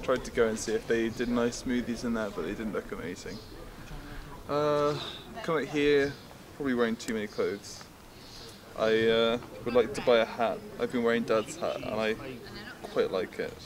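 A young man giving a relaxed, rambling vlog update outdoors on a town street, filmed on a handheld camera. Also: medium pitch, moderate pace. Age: young adult. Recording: busy street crowd behind.

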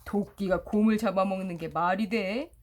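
A woman's voice: mid-range voice, steady tone, normal speed, lightly acted. A woman voicing a big, hungry, growling bear in a storybook, incredulous in manner. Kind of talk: storybook character voice.

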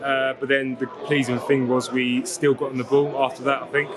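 A young man speaking in a flat, level tone, answering post-match questions at an outdoor football ground. His answers run loose and easy, padded with ums and you knows. Crowd chatter sits clearly in the background. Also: medium pitch, normal speed. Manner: relaxed, fluent, filler-heavy answers. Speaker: footballer, vice captain. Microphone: lapel mic.

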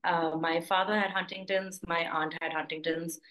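A young woman from India, a panelist, speaking softly and reflectively over a video call. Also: on the low side, little pitch movement, moderate pace, low-quality recording. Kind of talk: personal account on a panel. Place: home room with plants. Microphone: video call from a laptop.

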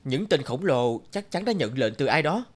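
An actor playing a brave, earnest young archer hero in a cartoon fairy tale. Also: male voice, mid-range voice, sing-song, moderate pace. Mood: earnest, reassuring. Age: young adult.

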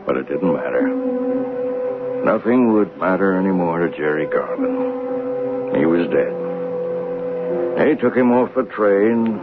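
An actor playing a plain-spoken working train conductor in a 1970s radio drama, in a conversational storytelling way. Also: male voice, low voice, lively intonation, slow pace, long pauses, narrow, tinny audio, loud music behind the voice. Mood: recounting, sober. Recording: noisy, band-limited vintage radio broadcast.

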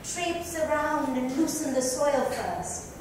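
Woman, dramatic book reader, highish voice, expressive phrasing, very echoey room. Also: slow. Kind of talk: theatrical literary narration and dialogue reading. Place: club lounge, indoors. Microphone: distant microphone. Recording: very echoey room, distant mic, faint noise.

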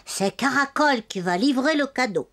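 Brisk, high-voiced doll girl. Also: animated, background noise. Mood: brisk, commanding. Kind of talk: French preschool cartoon dubbing. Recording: music behind the voice.

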